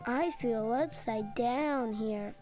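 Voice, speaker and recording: A woman voicing an earnest, unsure baby bird in an animated storybook game, sounding puzzled over faint background ambience. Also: fairly high pitch, takes it slowly, music in the background.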